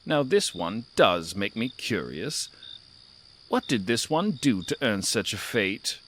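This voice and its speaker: Slow, theatrical, sardonic cat. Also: male voice, on the low side, very animated, fairly bright tone, slight background noise, exaggerated voice. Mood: curious, musing. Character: curious, impatient, sardonic male feline traveler guiding listeners. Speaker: narrator and guide of an audio drama. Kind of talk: theatrical audio drama narration.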